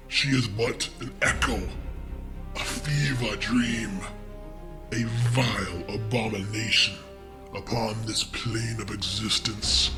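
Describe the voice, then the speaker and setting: An actor playing a towering undead holy warrior in an audio drama, solemn and zealous, with grave, archaic, deliberate speech. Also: male voice, mid-range voice, creaky. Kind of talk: urban fantasy audio drama acting.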